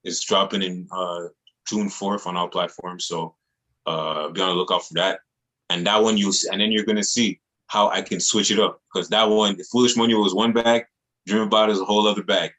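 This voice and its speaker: Male music artist, very low voice, earnest slangy interview talk. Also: fairly even intonation, brisk, fairly bright tone, low-quality recording. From Montreal, Canada. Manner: earnest, repetitive phrasing with casual slang. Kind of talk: casual interview chat.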